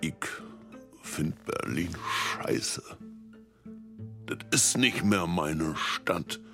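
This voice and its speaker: Slow, sulky washed-up male celebrity. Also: low-pitched, lively intonation, over music, exaggerated voice. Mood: bitter, wallowing. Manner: slow, plaintive, dramatic, sulky. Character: self-pitying, whiny washed-up male media celebrity. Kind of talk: comedy mockumentary acting.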